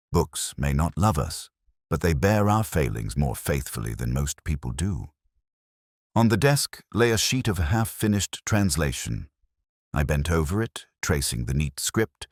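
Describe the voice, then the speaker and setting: Very deep-voiced man narrating solemnly. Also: dry recording, clean studio sound. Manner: measured, solemn, reflective. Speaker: audiobook narrator. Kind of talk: literary first-person detective story narration.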